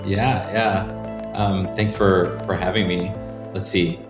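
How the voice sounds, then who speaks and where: A man's voice: sits low, flat delivery, slow, music in the background. A man from Brazil, a guest on an interview podcast, telling his career story in a loose, conversational way and often repeating words as he restarts. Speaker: guest, startup founder. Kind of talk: casual interview answers. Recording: echoey room.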